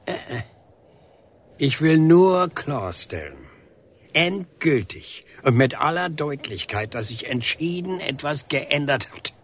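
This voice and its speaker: A man acting in a radio drama as an aggrieved older painter, husky, deliberate and insistent, with grunting hesitations. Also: a little high, sing-song, a bit bright, full character voice. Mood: resolute. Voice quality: husky, rough. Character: aggrieved, defiant older painter confronting a policeman.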